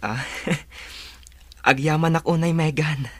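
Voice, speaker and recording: An actor playing an earnest, devoted young suitor in a radio drama. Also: male voice, fairly high pitch, takes it slowly, fairly bright tone, no echo. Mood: earnest, tender.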